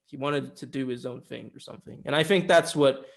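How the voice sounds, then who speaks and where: A man's voice: mid-range voice, fairly quick, vocal fry. A man co-hosting a film review show in casual discussion, opinionated and informal, given to swearing. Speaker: film review podcast co-host.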